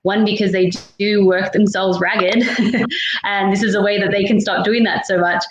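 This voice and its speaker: A woman hosts a casual interview in an echoey room with faint noise behind her. She talks in an encouraging, affirming way, at a middling pitch. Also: fairly even intonation, normal speed, lo-fi sound. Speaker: interview host. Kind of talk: casual chat.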